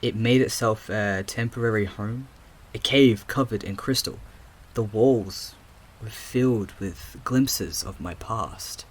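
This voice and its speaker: A man acting in an audio drama as a troubled, awkward young man with lost memories, speaking hesitantly and haltingly. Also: fairly low pitch. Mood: reflective.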